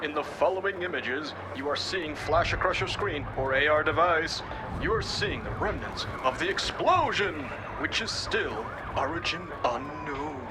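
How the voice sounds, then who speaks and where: A man's voice: fairly high pitch, animated, a little slow, noisy background. A man playing a sensationalist street news reporter in a cyberpunk audio drama, theatrical, punchy and sardonic. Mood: dramatic, urgent. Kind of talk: dramatic live news broadcast acting.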